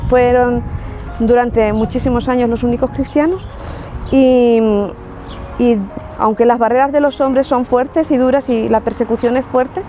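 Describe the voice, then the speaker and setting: A woman's voice: on the high side, warm tone. A woman from La Mancha in Spain with a Spanish accent, telling her personal faith testimony in an earnest, reflective way with frequent restarts. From Spain, Ciudad Real. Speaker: testimony speaker.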